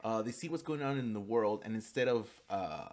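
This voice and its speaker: A young man from the United States giving a spoken class presentation, halting, with many fillers and restarts, at times switching into fluent Spanish. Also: lowish voice, lively intonation, a little mellow. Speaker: student.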